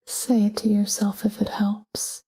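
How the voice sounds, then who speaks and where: A woman narrating a scripted sleep meditation in a calm, gentle, whispery voice with measured delivery. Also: mid-range voice, rough recording. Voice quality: breathy, whispery. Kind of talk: scripted guided sleep meditation, soft spoken.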